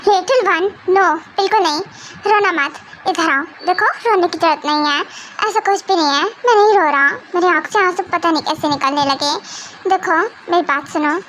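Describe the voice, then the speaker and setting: A tender, protective husband, acted out by a performer in a romantic audio drama. He sounds high and bright for a man, speaking in a hesitant, soothing, coaxing way. There is faint noise on the recording. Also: moderate pace. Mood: soothing, tearful.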